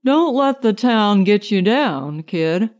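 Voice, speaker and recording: A woman's voice: mid-range voice, animated, slow, darkish tone. A woman voicing a lonely, bitter city man in a curt, cynical manner for a short story. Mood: curt, gruff.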